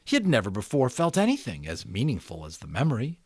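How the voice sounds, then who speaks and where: A man reading a story aloud as a dramatic amateur narrator, expressive, with deliberate pauses and casual asides. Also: medium pitch, slightly rough, no echo. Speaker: amateur audiobook reader.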